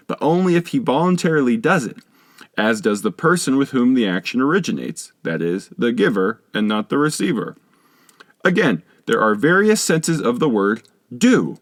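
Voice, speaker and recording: A man's voice: medium pitch, dry recording. Male narrator for scholarly audiobook: formal, instructive read, widely varied melody, dry studio-like sound. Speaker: audiobook reader. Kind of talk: scripted narration, reading a philosophy text aloud.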